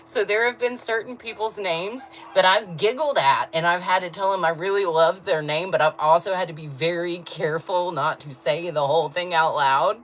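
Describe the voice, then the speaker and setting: A woman with a low voice chatting with viewers on a livestream, blunt and laughing often. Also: moderate pace, hardly any pauses, a bit bright, slight background noise. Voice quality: low voice register. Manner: blunt, talks straight to chat, laughs often. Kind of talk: casual livestream chat with viewers.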